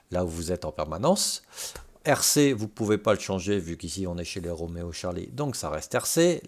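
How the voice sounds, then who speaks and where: A man with a lowish voice presents a software tutorial. He explains each step informally, as if guiding someone at the screen. Short tags punctuate his explanations to check that the listener follows. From Brittany, France.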